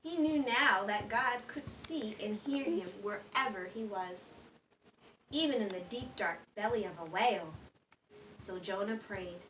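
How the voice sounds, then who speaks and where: A woman's voice: mid-range voice, measured pace, a bit bright, roomy sound. A woman reading a children's story aloud expressively, with sound effects and questions to her listeners. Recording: distant microphone with faint background noise.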